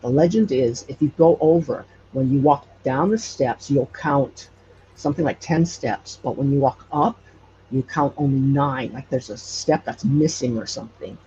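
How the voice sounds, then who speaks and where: A man from Hawaii telling stories casually as an interview guest, halting and searching for words as he goes. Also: medium pitch, dark tone. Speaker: podcast guest telling local legends. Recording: dull lo-fi audio, faint noise.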